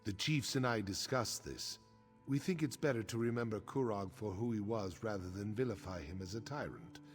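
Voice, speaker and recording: Honorable orc chief for a video game, measured and serious, deepish, very expressive intonation. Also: male voice. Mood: somber, reminiscing. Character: grave, honorable male orc warrior chief, middle-aged. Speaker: quest-giving game character. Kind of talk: scripted video game dialogue. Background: game music.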